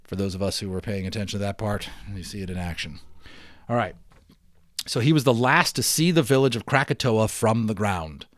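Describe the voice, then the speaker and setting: A man narrating a children's novel in a dramatic, emphatic read-aloud style, sometimes dropping softer to explain things to listeners. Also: on the low side, brisk, no echo. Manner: expressive, emphatic, with hushed drops.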